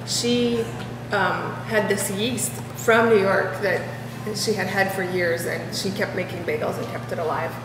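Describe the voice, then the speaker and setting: Woman, deli co-owner, halting restarts, distant mic, long reverb. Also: medium pitch. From Russia. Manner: warm, halting, with many restarts. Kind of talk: interview answers. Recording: echoey hall, mic far off, noisy.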